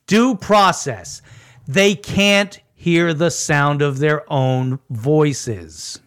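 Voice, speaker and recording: A man's voice: a little high, drawn-out words, a bit bright, smooth. A man co-hosting a local politics podcast, mocking and sarcastic toward officials. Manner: mocking, sarcastic, laughing at opponents. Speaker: podcast co-host.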